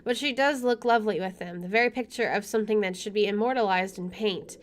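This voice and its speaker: Casting: female let's-player, mid-pitched, narrating visual novel prose with wry, offhand asides. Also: fast talker, a little hiss or hum. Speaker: video game streamer. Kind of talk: reading game text aloud with casual commentary.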